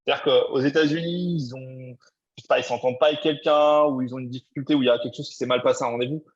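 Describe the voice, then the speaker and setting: A man from France talks quickly as a panelist on a webinar, rambling through his points with fillers. His voice is mid-pitched and comes through dull and lo-fi. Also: steady tone.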